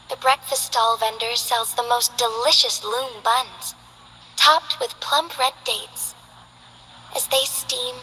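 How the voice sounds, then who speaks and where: A woman voicing a formal, earnest young female city magistrate in game character voice lines, speaking politely and with measured care. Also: fairly high pitch, pushed and bright, husky, heavily acted, rough recording.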